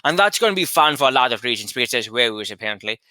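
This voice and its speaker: A man co-hosting a casual chat, lively and animated, often restarting while he searches for words. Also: medium pitch, rapid-fire, no echo. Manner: animated, rising into excited retelling. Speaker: podcast co-host.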